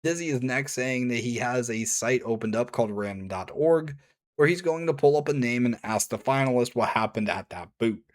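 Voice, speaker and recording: A man narrating a written review at an ordinary mid pitch, casual and chatty, with offhand asides between points. His voice sounds worn and slightly hoarse from long talking. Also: normal speed. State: voice strained and hoarse. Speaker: video reviewer.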